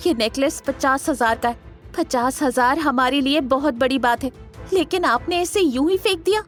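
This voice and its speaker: Woman acting fiery, high-voiced young wife. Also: normal speed, soft background music, natural voice. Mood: defiant, hurt. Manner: indignant, confrontational. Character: fiery young wife, defiant, sharp-tongued granddaughter. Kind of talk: Hindi audio drama acting.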